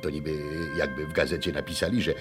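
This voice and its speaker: An actor playing a furtive, bewildered man in a radio drama, speaking haltingly and cautiously in a mutter. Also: extremely low pitch, fairly even intonation. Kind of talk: theatrical radio drama acting. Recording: 1980 radio play with music behind.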